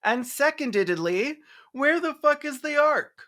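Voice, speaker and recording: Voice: high-pitched, lively intonation, a little slow, bright, forceful tone. A video host delivering sarcastic scripted commentary in a theatrical, mocking manner. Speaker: host of a comedic film review.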